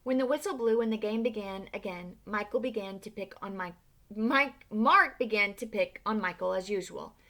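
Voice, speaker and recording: A woman reading a children's picture book aloud with expression, pausing to ask her listeners questions. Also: medium pitch, sharp tone. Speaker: read-aloud storyteller.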